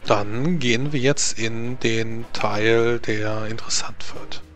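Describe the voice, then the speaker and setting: A man leads a tabletop game as game master, walking his players through the rules in an even, measured way. Faint music plays in the background. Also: medium pitch. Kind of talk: running a tabletop strategy game over voice chat.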